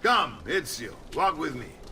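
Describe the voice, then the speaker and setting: An actor playing a gruff, seasoned Italian uncle who commands assassins in a video game, with an Italian accent and a measured, commanding manner. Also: male voice, fairly high pitch, sing-song, far from the mic, very noisy. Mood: hearty. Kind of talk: video game cinematic acting.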